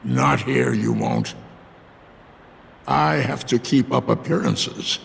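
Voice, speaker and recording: An actor playing a scheming, patient old master of darkness in a video game, with a gravelly voice and slow, theatrical delivery. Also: male voice, medium pitch, huge pitch swings, slight background noise, full character voice. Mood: cold scheming. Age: older. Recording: loud music underneath.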